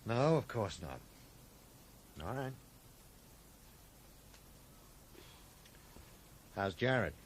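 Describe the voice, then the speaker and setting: A man's voice: mid-range voice, sing-song, measured pace, a little hiss or hum. An actor playing a determined, sardonic man in a radio drama, with a dry, questioning manner. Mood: probing. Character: determined, sardonic American man probing a friend's deception.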